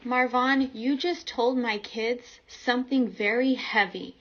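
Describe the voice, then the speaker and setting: A woman's voice: fairly high pitch, fairly even intonation, unhurried, a bit bright. A woman in a heated domestic argument, upset and worried, speaking firmly and insistently. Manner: insistent, firm. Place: indoors at home. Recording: muffled home recording, voices around.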